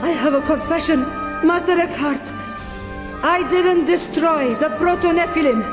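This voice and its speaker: Really high pitched nervous minion woman, grovelling, video game, big echo. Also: normal speed. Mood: anxious confessing. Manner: deferential, desperate. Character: nervous female sanatorium underling, pleading, servile. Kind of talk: video game cutscene acting. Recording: long echoing hall with music behind.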